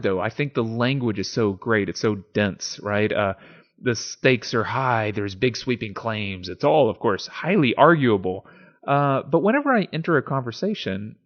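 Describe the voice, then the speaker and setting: A man's voice: medium pitch, lo-fi sound. A man hosting an informal video essay, explaining and dramatically reading philosophy with emphatic, theatrical delivery. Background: music.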